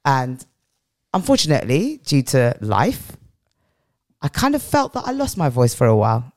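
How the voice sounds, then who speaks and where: A woman's voice: a bass voice, wildly swooping pitch, normal speed, darkish tone, no echo, studio quality. A middle-aged British woman with a strong voice, telling personal stories as a podcast guest and repeating phrases for weight. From England. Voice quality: strong, powerful voice. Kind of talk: reflective personal storytelling in an interview.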